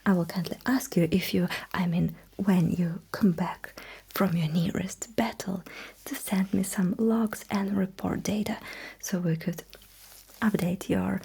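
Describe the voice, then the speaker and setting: A woman playing a calm, courteous alien battlesuit technician in a sci-fi ASMR roleplay, giving soft, encouraging instructions in a breathy whisper. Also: fairly low pitch, unhurried, full character voice.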